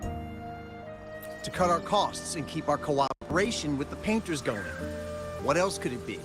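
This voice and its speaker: A man voicing a glib, cowardly and defensive gang leader in a video game, theatrical and quick to swing between bluster and appeasement. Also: fairly high pitch, lively intonation. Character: shifty male gang leader posing as an exile, cowardly and defensive. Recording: loud game music underneath.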